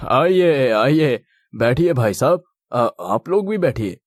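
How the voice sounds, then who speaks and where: A man voicing the men of a cartoon family tale, in an expressive, exclamatory way. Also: mid-range voice, takes it slowly, no room sound, studio quality. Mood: welcoming. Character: men of a family tale: father, groom, priest. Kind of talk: animated moral-story dialogue.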